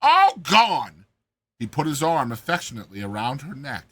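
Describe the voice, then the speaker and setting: A man narrating a short story in a theatrical, expressive, dramatized reading style. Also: mid-range voice, quick pace, no echo. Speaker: narrator, host of a reading series.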